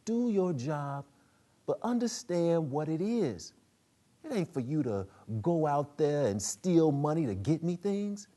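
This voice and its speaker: A man's voice: on the high side, sing-song, measured pace, dark tone, pitched above the performer's own voice. A man voicing an earnest, pleading 35-year-old woman in a dramatic play reading, with emphatic vernacular phrasing.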